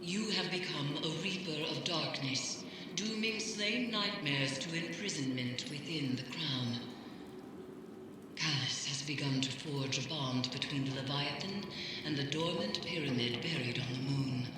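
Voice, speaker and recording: A woman's voice: deep voice, noisy background. A woman playing a solemn witch in a video game, with grave, portentous, incantatory delivery. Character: solemn, ominous witch leading dark rituals. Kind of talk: dramatic scripted video game acting. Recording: long hall reverb, clear background music.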